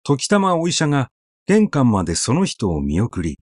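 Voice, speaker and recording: A man narrating a literary story in a measured, conversational, expressive way, as if telling it to someone. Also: mid-range voice, no echo, studio quality.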